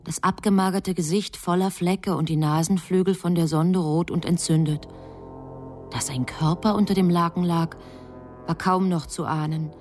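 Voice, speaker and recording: A woman narrating a radio drama in a measured, sober manner. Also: fairly low pitch, steady tone, a little mellow, faint music behind.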